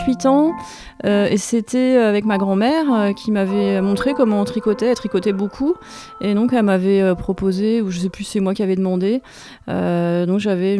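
A woman in her forties chatting casually about knitting, hesitant, with many pauses and fillers. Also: medium pitch, dark tone, clear, resonant tone, no room sound. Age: 40s. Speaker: knitter sharing her experience. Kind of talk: casual chat.

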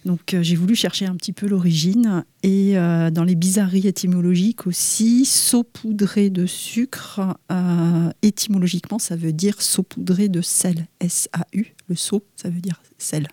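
Low, mellow-voiced woman explaining hesitantly. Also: fairly even intonation, normal speed, hardly any pauses, dry recording. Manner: hesitant, many "euh", soft half-whispered asides. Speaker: guest, food engineer. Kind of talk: radio interview explanation.

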